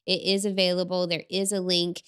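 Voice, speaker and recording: A calm woman in her thirties co-hosts a faith and relationships chat. Her voice sits a little low and sounds smooth and clear. She speaks in an even, affirming way. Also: a little fast. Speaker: podcast co-host. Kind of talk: casual faith-based advice chat.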